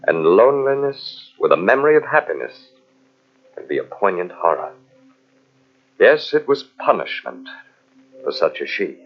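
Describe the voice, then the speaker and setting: An actor playing a vengeful, jealous army captain in old-time radio mystery style, with a brooding, menacing, melodramatic delivery. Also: male voice, deep voice, very animated, normal speed, long pauses, faint music behind, exaggerated voice. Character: vengeful, coldly jealous army captain narrating his tale. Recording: muffled old radio show from cassette.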